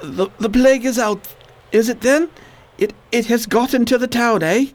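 A man's voice: very high voice, normal speed. A man playing a weak, weary man recovering from plague in a radio drama, his speech pained and tired.

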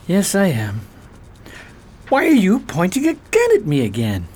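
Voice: mid-range voice, huge pitch swings, a little slow, a little mellow, slightly rough, exaggerated voice. An actor playing a cowardly, sullen petty crook of thirty who gives short, grudging answers in an audio drama. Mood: defensive, sullen. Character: cowardly, sullen thirty-year-old male petty crook held captive.

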